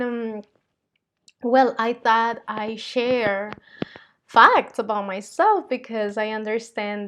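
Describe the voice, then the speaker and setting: A woman in her thirties from Colombia with a Spanish accent, talking casually and haltingly to her vlog audience with many fillers. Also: mid-range voice, a little hiss or hum. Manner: soft-spoken, halting, with frequent fillers and little laughs. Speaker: spiritual vlogger. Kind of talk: casual vlog talk to camera.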